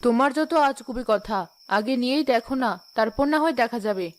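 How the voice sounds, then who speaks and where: A woman's voice: a little high, lively intonation, moderate pace, lightly acted. A woman voicing a practical, consoling village wife and mother in a cartoon, reassuring but firm. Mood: coaxing. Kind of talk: Bangla cartoon voice acting. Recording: music behind the voice.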